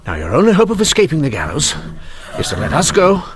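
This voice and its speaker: A man's voice: on the high side, huge pitch swings, quick pace, noisy background. A man playing an earnest, nervous police inspector, formal and hesitant, in an old-time radio drama. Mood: earnest warning.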